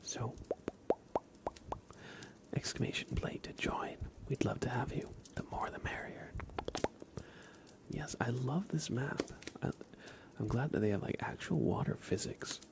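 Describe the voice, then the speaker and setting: A soft-spoken man talks as an ASMR livestream host, slipping between hushed speech and breathy whispers. His pace is slow and unhurried, with a crackly fry in his mid-pitched voice. He addresses viewers casually and tends to repeat words.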